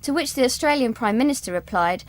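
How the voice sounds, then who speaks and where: A formal female news reader, acted by a woman in a spoof radio show. She is composed and reads from a script. Her pitch is a touch high. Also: animated, fast talker, natural voice. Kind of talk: scripted news bulletin reading.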